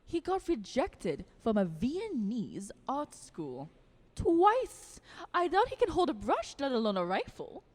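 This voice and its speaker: A woman's voice: high voice, huge pitch swings, slow pace, darkish tone, breathy edge, heavily acted. A woman playing a snobbish, art-loving society vampire in an audio drama, with an affected, languid and dismissive manner. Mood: amused, scornful.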